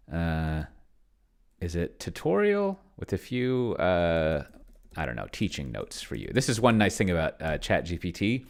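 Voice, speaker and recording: A man with a deep voice talks casually through a game on his computer. His pitch moves around a lot as he muses out loud. He rambles, often letting phrases trail off into a mutter. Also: gravelly. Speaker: tabletop game player and video creator. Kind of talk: casual screen-share commentary, thinking aloud.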